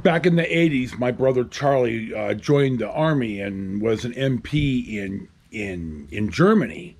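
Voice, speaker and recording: A man in his fifties hosting a casual storytime to camera, with a halting, wry delivery. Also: mid-range voice, lively intonation, a little mellow. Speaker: host. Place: covered outdoor patio at a house.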